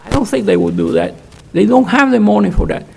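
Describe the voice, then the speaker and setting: Older male panelist, raspy-gravelly and emphatic, with long hall reverb. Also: high-pitched, wildly swooping pitch, brisk, darkish tone. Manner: emphatic, frank, repeats words when restarting. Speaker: conference panelist, economist. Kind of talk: answering audience questions at an academic panel. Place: conference hall.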